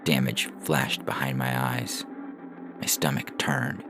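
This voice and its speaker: A man reads a first-person story in an extremely low voice with heavy creak. Music plays clearly behind his scripted narration. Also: steady tone, slow pace. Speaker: fiction podcast reader and announcer.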